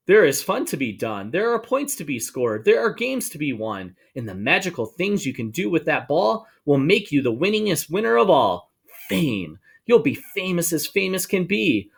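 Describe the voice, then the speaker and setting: A man reading a rhyming children's book aloud to schoolchildren in an expressive, sing-song way, drawing out words for emphasis. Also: a little high, creaky, slightly rough. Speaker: storybook reader for schoolchildren.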